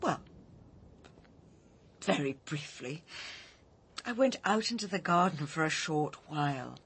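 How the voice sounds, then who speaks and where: A woman's voice: low voice, animated, slow pace, fairly bright tone, breathy edge, exaggerated voice. An actress playing a polite, uneasy, evasive woman with an English accent in a radio drama, speaking hesitantly. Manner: hesitant, apologetic.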